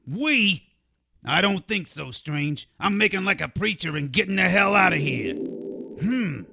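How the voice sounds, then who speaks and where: A brash, cranky male sidekick, played by an actor in an audio drama, always griping and exasperated. His voice is high and sharp with a gravelly creak, swinging in pitch as he wisecracks. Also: expressive, a little slow, full character voice. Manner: exasperated, complaining, mouthy. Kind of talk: comedic audio drama acting.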